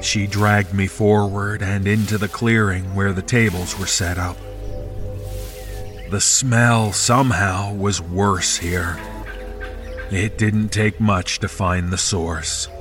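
A man reading a scripted first-person horror story in a measured, dramatic storytelling manner. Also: on the low side, fairly even intonation. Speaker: narrator of horror fiction. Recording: music behind the voice. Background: music.

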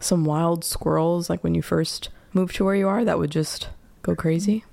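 A woman podcast host with a calming, soft-spoken voice, chatting casually. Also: fairly low pitch, moderate pace, vocal fry, no echo. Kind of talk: casual chat.

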